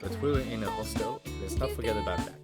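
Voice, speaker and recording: A man with a French accent, hosting a travel podcast and asking questions in slightly halting English. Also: fairly low pitch. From France. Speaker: podcast host. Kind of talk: podcast intro and casual chat. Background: guitar music under the intro.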